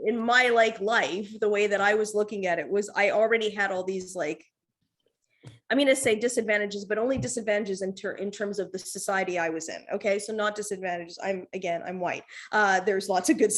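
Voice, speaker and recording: A woman speaking in a relaxed, rambling way as a podcast guest. Her pitch sits in the middle, and she laughs easily and restarts her sentences often. The overall feel is casual, animated conversation. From North America.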